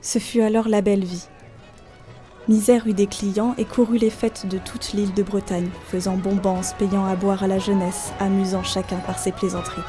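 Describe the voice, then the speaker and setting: A woman's voice: medium pitch, flat delivery, normal speed, dark tone. A woman narrating a folk tale as a scripted audio story. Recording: faint music behind. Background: faint music.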